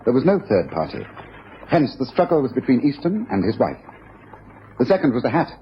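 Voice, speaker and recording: Brisk, self-assured male detective, period radio drama, lively intonation, dull recording. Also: medium pitch. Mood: explanatory, satisfied. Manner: decisive, declarative, explanatory. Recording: dull old radio recording, faint hiss.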